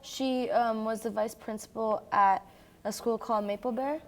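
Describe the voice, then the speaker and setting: A young girl from Brazil, a native Portuguese speaker, telling stories in casual chat with a halting, filler-heavy youthful manner. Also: fairly high pitch, fairly even intonation. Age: child or young teen. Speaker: young newcomer sharing experiences.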